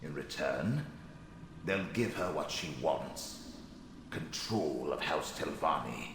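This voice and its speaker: An actor playing a haughty, sardonic dead wizard's spirit in a video game, speaking in an imperious, theatrical way. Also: male voice, extremely low pitch, expressive, slow pace, exaggerated voice. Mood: disdainful. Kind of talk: scripted video game acting. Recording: echoing cavernous reverb, faint noise.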